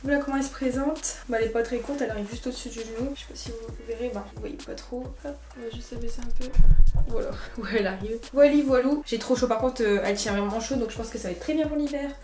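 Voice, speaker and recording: A woman's voice: fairly high pitch, fast, a little mellow, reverberant. A woman with a cold chatting casually as she unboxes and tries on clothes, rambling and correcting herself. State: has a cold. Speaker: vlogger. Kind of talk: casual try-on haul chat.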